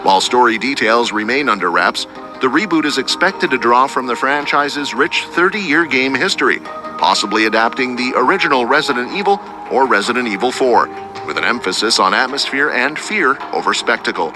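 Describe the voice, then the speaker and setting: Man narrating scripted news over loud music. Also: medium pitch, expressive, a little fast, low-quality recording. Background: prominent music.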